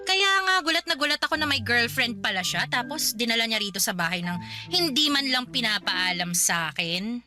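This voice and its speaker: Actress as high-pitched, scolding Filipino older sister. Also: female voice, animated, normal speed, music in the background, lightly acted. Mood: indignant. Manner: sarcastic, nagging, confrontational. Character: sharp-tongued, scolding older sister of twenty-nine. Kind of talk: Filipino radio drama acting.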